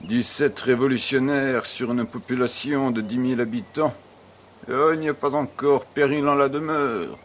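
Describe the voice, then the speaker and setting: An actor playing a courteous, worldly baron in a French audio drama, with a polite and playful flourish. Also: male voice, mid-range voice, fairly even intonation, moderate pace, a little hiss or hum, lightly acted. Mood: amused. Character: courteous, worldly Parisian baron, suave and amused.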